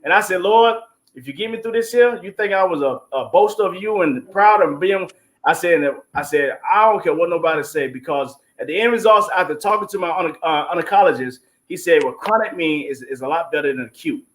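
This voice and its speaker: High-voiced man giving informal, devout testimony. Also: fairly quick, fairly bright tone. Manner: informal, emphatic, devout, punctuated with 'you know what I'm saying'. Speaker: stage play producer and guest. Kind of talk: testimonial talk on an online show.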